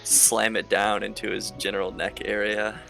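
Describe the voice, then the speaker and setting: Male player, deep gravelly voice with a bright edge, tentative in-game narration, faint music underneath. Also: completely flat delivery, normal speed, poor audio. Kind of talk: casual chat and in-game action description. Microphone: voice chat microphone, mutable. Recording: online voice chat with faint music.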